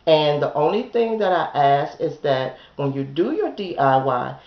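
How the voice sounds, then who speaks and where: A hoarse woman vlogger with a gravelly voice talks slowly and casually, checking in with her viewers with a frequent "okay?". Her voice sounds roomy and echoey, and the recording is a little dull and lo-fi. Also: deep voice, very animated. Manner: chatty, asks "okay?" often. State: hoarse from a chest cold. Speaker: home decorating channel host. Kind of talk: casual vlog chat.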